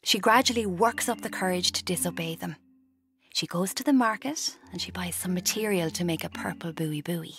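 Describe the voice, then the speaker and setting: A woman's voice: fairly low pitch, moderate pace, fairly bright tone. An actress playing a restless would-be writer in her twenties with an Irish accent, confiding drily in a radio drama. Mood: wry, confiding. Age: 20s. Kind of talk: radio drama, first-person confiding narration and dialogue.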